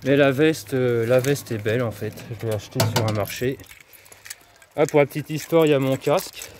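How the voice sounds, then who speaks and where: A man's voice: medium pitch, a little fast. A man from France chatting casually to camera while walking outdoors, rambling and upbeat about personal development. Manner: chatty, rambling, self-promoting, laughs at himself. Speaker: YouTuber and personal development coach. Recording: faint street noise and jangling keys.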